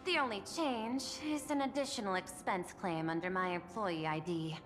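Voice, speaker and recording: A poised, guarded executive woman from a video game, played by an actress, speaks in formal, deliberate phrases at a middle pitch. Long reverb trails her voice, and music plays clearly behind it. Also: lightly acted. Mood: composed, guarded. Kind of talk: scripted game character dialogue.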